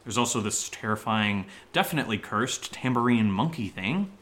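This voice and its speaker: A man playing a nervous, sarcastic young man in a horror audio drama, speaking in halting, rambling bursts with sardonic asides. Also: lowish voice, lively intonation, a bit bright. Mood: uneasy, halting.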